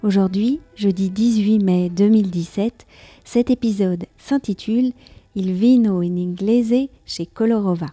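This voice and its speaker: A woman from France tells her podcast listeners about her day in a clear, careful way. Her voice is mellow and dark in tone. She is recorded dry and close, with no room echo. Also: mid-range voice, a little slow. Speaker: podcast host. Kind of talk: scripted personal narration. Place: near Paris.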